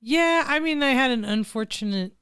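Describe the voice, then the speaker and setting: A woman co-hosting a podcast beside her husband, soft-spoken and easygoing. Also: high-pitched, lively intonation, clean tone, no room sound, clean studio sound. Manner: soft-spoken, slow, laughs often. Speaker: co-host, host's wife. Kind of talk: casual chat.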